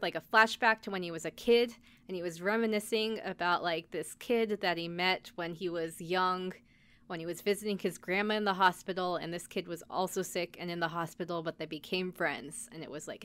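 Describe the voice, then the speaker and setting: A woman's voice: lowish voice, moderate pace, a bit bright, smooth. A woman podcast host giving a casual, chatty recap, speaking conversationally with lots of filler "like". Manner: conversational, peppered with "like".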